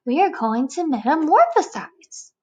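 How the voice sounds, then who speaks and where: A woman's voice: high voice, sing-song, a little slow, lo-fi sound, voice pushed up. A woman voicing a weary, annoyed fellow caterpillar in a storybook, curt and instructive, stretching words for emphasis. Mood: matter-of-fact.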